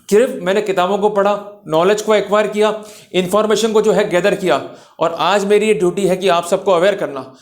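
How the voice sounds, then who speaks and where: High-pitched man lecturing emphatically. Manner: emphatic, exhorting, rhetorical; mixes in English legal terms. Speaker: lawyer and legal lecturer. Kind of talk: legal lecture to camera.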